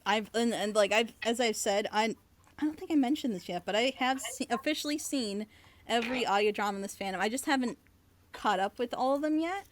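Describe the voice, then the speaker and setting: A woman chatting casually on a reaction panel, giving feedback in an animated, rambling, gushing way. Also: on the high side, a little fast, slight background noise. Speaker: reviewer and script editor on a reaction panel.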